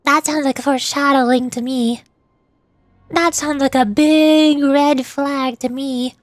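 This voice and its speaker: A woman's voice: high voice, steady tone, slow pace, dry recording. A woman livestreaming gameplay as a vtuber, chattering playfully to herself with little babbled sound words. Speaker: vtuber game streamer. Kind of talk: livestream gameplay commentary, reading game dialogue aloud.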